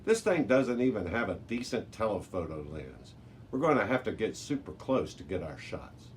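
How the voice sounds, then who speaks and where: A man's voice: fairly low pitch, big pitch swings, normal speed. A man voicing an outspoken, joking young girl in a children's storybook, exclaiming and questioning. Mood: grumbling. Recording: noisy amateur recording with background hiss.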